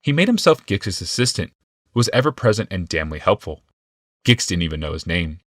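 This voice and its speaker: A man's voice: low-pitched, very animated, a little fast, no room sound, studio quality. A man reading a scripted fantasy audiobook as its narrator.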